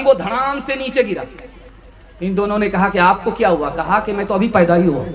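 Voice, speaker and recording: Very high-pitched male preacher, echoing. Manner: declamatory, melodic chanting of verses and plain storytelling. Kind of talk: religious sermon to a gathering, with anecdotes and sung poetry. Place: large religious gathering with a loudspeaker system. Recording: echoing public-address sound, faint music.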